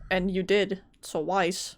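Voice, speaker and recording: A woman streamer giving casual gameplay commentary, chatty and quick to exclaim. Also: mid-range voice, flat delivery. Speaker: gaming streamer.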